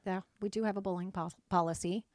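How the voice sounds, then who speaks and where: A woman's voice: mid-range voice, steady tone, fast, darkish tone, dry recording, clean studio sound. A woman in her forties from Michigan, earnestly answering interview questions and often agreeing with "right.". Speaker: school board candidate.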